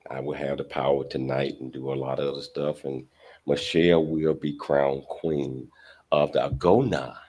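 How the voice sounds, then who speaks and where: A man's voice: very deep voice, darkish tone. A man hosting a talk show in a casual, rambling, chummy conversational way.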